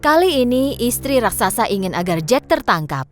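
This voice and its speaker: A woman's voice: a little high, sing-song, moderate pace, soft background music. A woman with an Indonesian accent narrating a scripted children's story as the storyteller.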